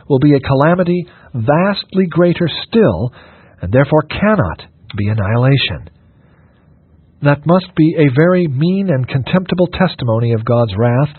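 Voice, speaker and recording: A man reading a scripted sermon in a measured, solemn and formal manner. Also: mid-range voice, breathy edge, no echo. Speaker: reader of a historical sermon. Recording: narrow phone-like radio sound, dry.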